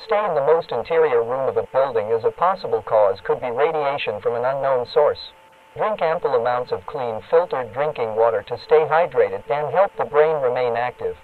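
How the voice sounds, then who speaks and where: A man playing an official emergency alert announcer, reading a scripted warning in a formal, impersonal, measured way through a radio filter. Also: mid-range voice, lively intonation. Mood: formal, grave. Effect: radio broadcast filter. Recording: narrow, phone-like radio broadcast sound.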